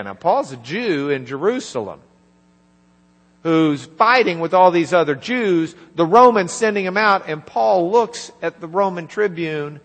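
A man preaching a sermon, retelling a scripture story in a conversational way and quietly acting out the lines of its people. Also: on the high side, creaky. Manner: conversational, acts out dialogue in quiet asides. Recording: reverberant hall with mains hum.

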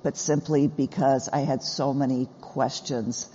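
Swedish-born woman guest, laughs at herself, warm husky tone, hall sound. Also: a bass voice, steady tone, measured pace. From Sweden, raised in the U.S. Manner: laughs easily, self-deprecating. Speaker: guest scholar. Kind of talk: live stage interview. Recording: dull sound with long hall reverb.

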